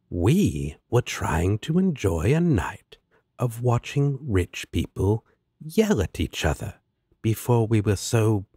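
A man's voice: on the low side, dark tone, breathy edge, dry recording, clean studio sound, full character voice. A man playing a laid-back, sarcastic, protective demon roommate in an audio roleplay, with a drawling manner. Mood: sarcastic, annoyed. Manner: drawling, sardonic.

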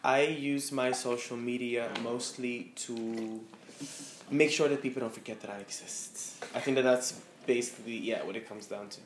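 A man's voice: mid-range voice, steady tone, moderate pace. A man in his thirties from the Netherlands, speaking as a guest before a small audience in a candid, reflective way with many fillers. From Amsterdam, Netherlands. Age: 30s. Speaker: guest, fashion stylist. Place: room with a live audience. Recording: roomy echo, faint noise.

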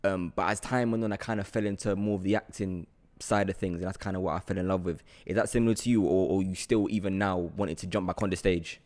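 A man in his twenties hosting a casual interview, talking into a close microphone on a boom arm. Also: fairly even intonation, quick pace, darkish tone. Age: 20s. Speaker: podcast host, actor. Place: small indoor room with desk. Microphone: close studio mic on boom arm.

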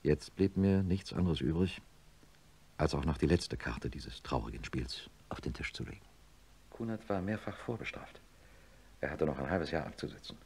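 A deep-voiced, sober middle-aged surgeon. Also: male voice, expressive, darkish tone, a little hiss or hum. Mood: subdued, grave. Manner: measured, matter-of-fact, insistent. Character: sober male neurosurgeon, blunt old friend, narrating his story. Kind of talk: German radio play acting and narration.

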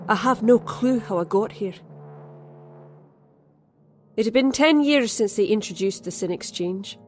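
A woman reading a scripted first-person horror story in a measured, dramatic, literary manner. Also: medium pitch, darkish tone. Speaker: story narrator.

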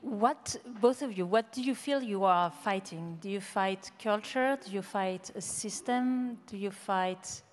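A woman's voice: mid-range voice, measured pace, few pauses. A woman moderating a conference panel, asking probing questions into a handheld mic. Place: large conference stage. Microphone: handheld microphone. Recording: long hall reverb.

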